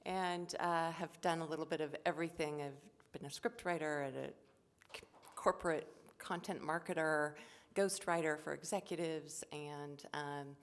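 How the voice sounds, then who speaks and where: A woman, a nonfiction crime author, introducing herself briskly on a panel. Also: low voice, fairly even intonation.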